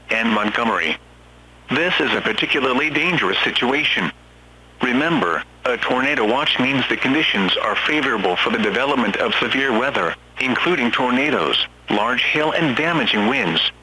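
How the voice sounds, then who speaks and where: A man's voice: medium pitch, bright, forceful tone. Guy doing a formal emergency weather radio announcer, impersonal scripted warning, pretty noisy signal. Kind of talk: scripted emergency alert reading.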